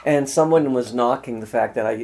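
An older man, a guitarist, reminiscing in a relaxed interview, rambling and full of "you know". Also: mid-range voice, a little fast, gravelly.

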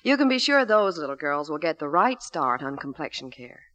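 Animated American woman, vintage radio pitch. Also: normal speed. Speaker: Hollywood reporter and soap pitchwoman. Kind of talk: scripted 1940s radio advertising banter.

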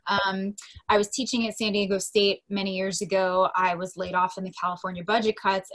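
Woman, thirties, American accent, interview guest, casual personal storytelling, expressive, medium pitch. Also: rough recording. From Delaware, United States. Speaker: podcast guest, teacher and coach.

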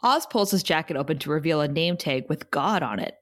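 A woman's voice: low-pitched, lively intonation, a little fast. A woman narrator reading the stage directions of a script at an informal table read.

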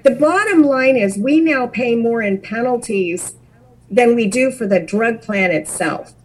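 A woman who works as a financial planner, a guest in an interview, telling her story with animated asides. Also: on the high side, measured pace, rough recording. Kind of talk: conversational interview.

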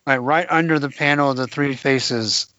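A man co-hosting a podcast, chatting casually about comics, animated and laughing often. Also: medium pitch, moderate pace, no echo, highs cut off. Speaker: podcast co-host.

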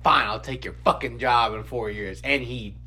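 A man's voice: mid-range voice, moderate pace. A man co-hosting a comedy podcast, telling stories in casual, profane banter and animatedly acting out quotes. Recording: noisy with a steady hum.

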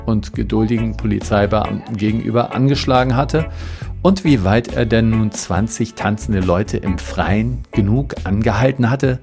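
Low-voiced man narrating with dry irony. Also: normal speed, creaky, darkish tone. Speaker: reporter and narrator. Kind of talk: scripted narration with ironic commentary. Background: music under the voice.

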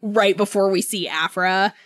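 A woman talks as a podcast co-host in a casual, informal chat. Her voice sits at a middle pitch and is recorded dry, with very little room sound. She chuckles easily as she goes. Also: fairly even intonation, measured pace, fairly bright tone, no echo. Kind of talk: casual book discussion chat.

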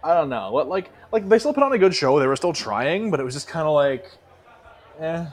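Male podcast co-host, fairly high voice, very lively intonation, casual, sweary, animated music talk. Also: brisk.

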